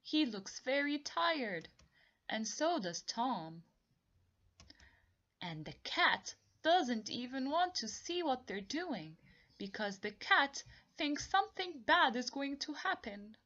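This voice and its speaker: A woman reads a picture book aloud for young children at an unhurried, deliberate pace. Her pitch moves up and down a great deal as she tells and simply explains the tale. The recording sounds slightly muffled and lo-fi. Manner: expressive, deliberate, repeats and explains for young listeners. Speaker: storybook reader for children. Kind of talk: read-along storytelling with simple explanations.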